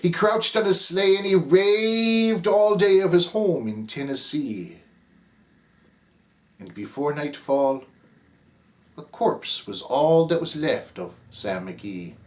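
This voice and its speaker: A man's voice: a little high, expressive, slow. Theatrical Irish-accented man reciting a poem. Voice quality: rhythmic, theatrical, sweeping delivery. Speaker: narrator as the character Cap.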